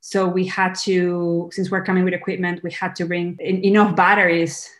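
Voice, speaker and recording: A woman's voice: on the low side, level tone, normal speed. Earnest woman film director. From Peru. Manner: earnest, restarts words, thinks aloud. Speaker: documentary film director, guest. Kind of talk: podcast interview.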